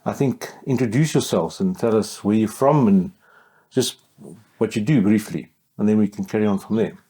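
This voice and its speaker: Low, mellow-voiced podcast host. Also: male voice, normal speed. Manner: relaxed, informal questions. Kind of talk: casual interview.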